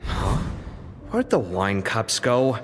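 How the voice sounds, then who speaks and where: A man voicing a young, expressive and somewhat fussy man in a video game, lively and talkative. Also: fairly low pitch, slow. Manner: lively, chatty and a little flustered. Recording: reverberant game scene with music.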